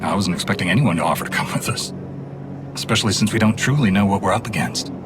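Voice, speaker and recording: An actor playing a noble, reassuring knight commander in a video game, courteous and steady. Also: male voice, on the low side, fast talker, over music, lightly acted. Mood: resolute. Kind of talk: video game cutscene acting.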